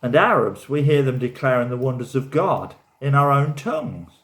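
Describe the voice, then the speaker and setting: Lively male scripture reader. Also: medium pitch, moderate pace. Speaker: Bible reader. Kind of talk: scripture reading in church.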